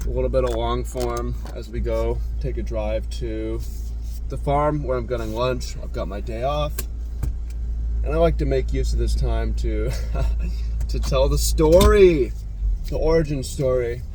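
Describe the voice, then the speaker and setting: A man's voice: mid-range voice, measured pace, mellow. A man in his thirties from the United States, telling his life story as a casual, rambling vlog monologue while driving, with frequent restarts. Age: 30s. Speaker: vlogger. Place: inside a moving car. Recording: clear road noise from a driving car. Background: road and engine noise.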